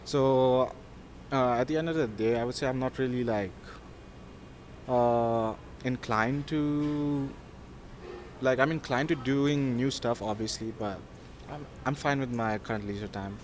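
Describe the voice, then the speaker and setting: A man in his twenties from Pakistan, a student whose first language is Sindhi, answering interview questions in a relaxed, hesitant way with many fillers. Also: medium pitch, unhurried, a little hiss or hum. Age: 20s.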